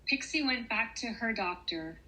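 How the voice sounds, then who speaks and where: A woman children's author reading to young listeners with deliberate phrasing and gentle pauses. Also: on the high side, expressive, rough recording. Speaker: children's author reading her own story. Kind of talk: storybook narration for children.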